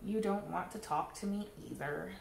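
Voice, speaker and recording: A woman voicing a lonely, earnest little male fish in a picture book read-aloud, slow and expressive with exaggerated exclamations. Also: medium pitch, gravelly, reverberant, slight background noise, pitched below the performer's own voice. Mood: lonely, hopeful.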